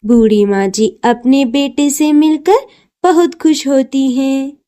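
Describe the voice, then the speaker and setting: A woman's voice: sits high, slow, dark tone. A woman narrating a scripted children's cartoon story.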